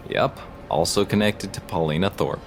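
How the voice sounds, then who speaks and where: An actor playing a terse, understated police detective in audio drama dialogue. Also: male voice, deep voice, normal speed, music in the background. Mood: businesslike. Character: male police detective working a murder case.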